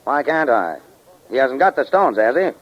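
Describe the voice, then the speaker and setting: An actor playing a tough, suspicious crime boss with slangy, hard-boiled talk and a husky voice, in 1940s radio drama style. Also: male voice, mid-range voice, very animated, normal speed, close to an everyday voice. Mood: menacing, irritated. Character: tough, suspicious male boss of diamond thieves. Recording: dull old 1940s radio recording.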